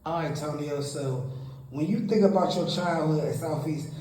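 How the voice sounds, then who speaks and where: A man's voice: fairly high pitch, normal speed, dark tone. A man hosting an interview, reading prepared questions with a showman's flair. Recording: roomy echo, faint noise.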